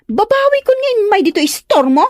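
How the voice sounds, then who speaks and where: A woman with a Filipino accent playing a resentful, sharp-tongued young woman in an audio drama. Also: very high-pitched, sing-song, brisk, no room sound. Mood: irritated. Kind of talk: Ilocano audio drama acting.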